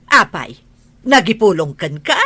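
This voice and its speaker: A woman playing a bossy, sharp-tongued aunt, reproachful and emphatic, in a radio drama. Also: mid-range voice, big pitch swings, measured pace, slightly rough, no echo, full character voice. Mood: scolding. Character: scolding, bossy aunt, sharp-tongued older female relative.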